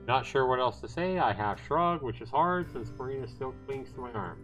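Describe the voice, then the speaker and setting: A man's voice: medium pitch, animated, moderate pace, fairly bright tone. A man livestreaming a visual novel, reading the narration aloud casually and sometimes stumbling and correcting himself. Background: game music with guitar.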